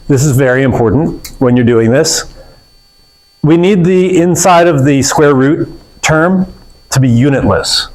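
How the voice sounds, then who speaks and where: A man's voice: mid-range voice, a little mellow, poor audio. Casual male physics lecturer. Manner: casual, explanatory, with fillers and self-corrections. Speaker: physics instructor. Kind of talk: classroom lecture. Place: classroom.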